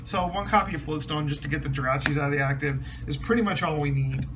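A man's voice: a little high, fast, background noise. A man casually explaining a trading card deck, rambling with many ums and restarts, his voice a bit strained. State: slightly losing his voice, clears throat. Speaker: card game deck reviewer.

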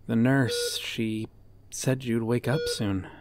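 A man playing a devoted, guilt-ridden detective in a dramatic audio roleplay, speaking in an earnest, brooding, halting way. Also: medium pitch, steady tone. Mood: sorrowful, pleading. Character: guilt-ridden, devoted male detective hunting a serial killer.

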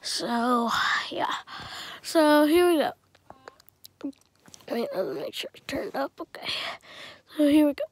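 Casual female game reviewer, high and unhurried, stuffy with a cold, rambling and throat-clearing. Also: big pitch swings, no echo. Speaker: channel host reviewing a music game.

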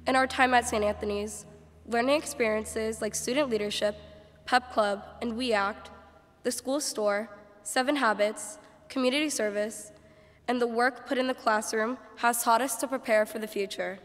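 A woman's voice: fairly high pitch, steady tone, normal speed. Teenage student girl, big reverberant space. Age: teenager, about 14. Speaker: graduating student speaker. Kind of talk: reading a prepared ceremony speech. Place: large church, indoors. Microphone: podium microphone. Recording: reverberant church podium recording.

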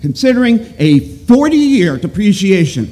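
A man giving halting, opinionated, emphatic testimony off the cuff at a podium microphone in an auditorium. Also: high voice, very animated, warm tone. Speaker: resident and economist giving public testimony. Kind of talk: halting off-the-cuff public speech. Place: large auditorium. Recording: clear background noise in auditorium.

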